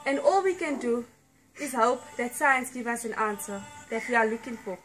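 A woman playing a grave puppet doctor with a measured, solemn manner in an amateur puppet show. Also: a little high, expressive, fairly bright tone, soft background music, poor audio. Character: puppet doctor, grave, gently breaking bad news.

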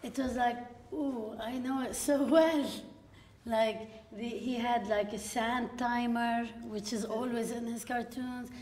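A woman from Lebanon in her forties speaks at a panel event, her voice sitting a touch high. She tells stories in a lively way, acting out quoted lines. The sound comes from a stand mic in an echoey gallery room. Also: slow pace. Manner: lively storytelling with frequent laughs and quoted asides. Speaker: panel guest, filmmaker. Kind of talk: live panel conversation. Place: gallery room with seated audience. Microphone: stand mic at a distance.

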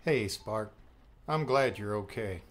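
A man playing a middle-aged, protective and affectionate father with a gentle, dry teasing manner in an audiobook drama. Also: lowish voice, animated, slow. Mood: warm, teasing.

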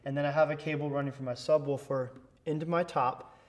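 A man's voice: fairly high pitch, fairly even intonation, fairly quick. An American man in his thirties giving an instructional product tutorial in an explanatory, promotional way. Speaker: presenter, product education manager. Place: large indoor school band room.

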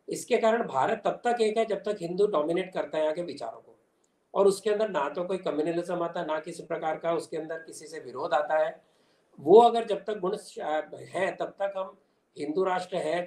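A man's voice: fairly high pitch, darkish tone. An older man giving emphatic, explanatory answers in a political interview, mixing in English terms. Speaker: interview guest, commentator. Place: small indoor room at home. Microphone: video call device. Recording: echoey room on video call.

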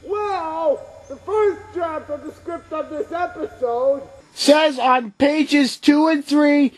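A man acting an excitable, mischievous hero in an amateur comedy short, exclaiming theatrically over noisy sound. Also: very high-pitched, takes it slowly.

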